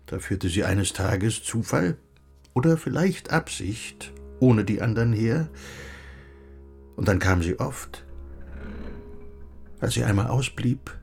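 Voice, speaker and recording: An actor playing a middle-aged, bookish recluse in a radio play, speaking in a reflective, lyrical and measured way. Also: male voice, fairly low pitch, expressive, gravelly, darkish tone, breathy edge, soft background music, heavily acted. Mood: tender, reminiscing. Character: wistful, gently philosophizing bookish recluse husband. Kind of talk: German radio play acting.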